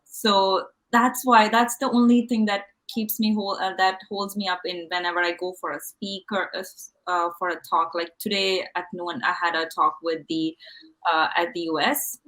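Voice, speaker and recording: Talk show guest: woman, Indian accent, Malayalam mother tongue, medium pitch, chatty and candid. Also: steady tone, moderate pace, fairly bright tone, poor audio. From Kerala, India. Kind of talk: casual interview, telling personal stories.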